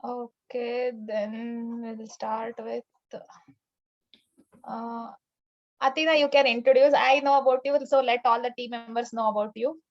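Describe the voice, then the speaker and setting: A woman instructor leading class introductions over a video call, in a casual manner, often answering with a quick "okay". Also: fairly high pitch, fairly even intonation, lo-fi sound. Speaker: course instructor.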